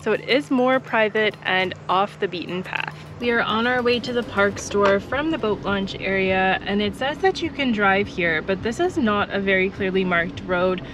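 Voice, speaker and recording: A young woman vlogger talking to a handheld camera outdoors in a wooded park, giving a clear, practical tour of a campground with friendly tips. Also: mid-range voice, moderate pace, hardly any pauses, noisy background. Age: young adult. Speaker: travel vlogger touring a campground. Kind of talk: informative park tour narration. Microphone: camera held at arm's length.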